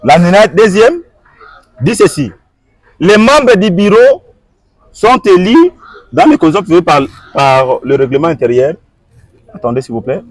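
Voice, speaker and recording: A man's voice: high voice, very animated, measured pace, long pauses, dry recording. A man answering an interviewer, arguing his point with repeated rhetorical questions and switching between French and Lingala. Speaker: guest.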